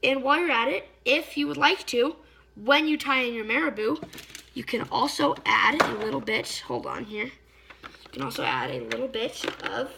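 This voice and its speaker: A slightly hoarse woman giving a casual hands-on fly-tying tutorial, chatty and often stopping to search for a word. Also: a little high, expressive, normal speed, pushed and bright, a little hiss or hum. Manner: chatty, stops to search for words.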